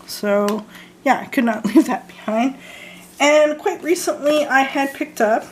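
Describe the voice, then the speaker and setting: A young woman from Canada chatting casually about her fragrance purchases, hoarse and losing her voice, hesitant with many ums and frequent throat clearing. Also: high-pitched, unhurried, slight background noise. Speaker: vlogger showing fragrance purchases. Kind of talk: casual haul chat.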